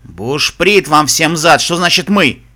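A man voicing a grumpy, greedy zebra smuggler captain in an audiobook, with a hoarse, gruff voice and barking sailor talk. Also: on the high side, lively intonation, slow pace, sharp tone, heavily acted. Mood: angry, grumbling. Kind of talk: audiobook character voice.

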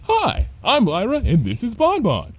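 A man's voice: very high voice, wildly swooping pitch, measured pace, dry recording, pitched above the performer's own voice, exaggerated voice. A man voicing a carefree, reckless female unicorn pony in a story, breezy and unworried. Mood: enthusiastic.

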